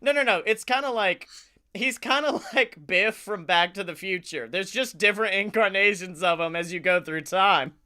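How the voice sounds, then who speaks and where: A man co-hosting a comedy podcast, chatting casually and brainstorming in an excitable, sweary way. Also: high voice, normal speed, smooth. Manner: excitable, profane.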